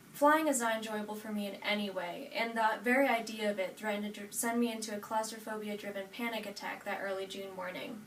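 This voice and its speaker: Young american woman reading her narrative speech, echoey room, mic kinda far away. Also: medium pitch. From United States, Nebraska. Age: 18, young adult. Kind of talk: prepared personal narrative speech read from note cards. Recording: echoey room, distant mic, faint noise.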